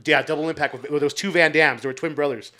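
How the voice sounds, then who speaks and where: Excitable man with a bright, high voice. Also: fast talker. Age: 30s. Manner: excitable, swears freely, lots of filler words. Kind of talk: casual chat.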